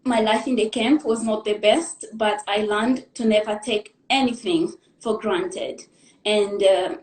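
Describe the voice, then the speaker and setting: A young woman from the Democratic Republic of the Congo tells her life story as a guest in a live interview, in an earnest, halting way, pausing to gather her thoughts. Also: medium pitch, steady tone, darkish tone, echoey room. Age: young adult.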